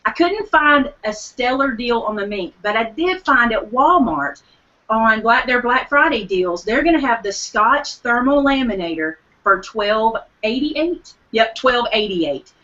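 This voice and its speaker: Eager woman host, bright, slightly high, losing her voice, lo-fi stream. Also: expressive, a little slow. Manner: enthusiastic deal announcer. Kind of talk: live online craft show chat.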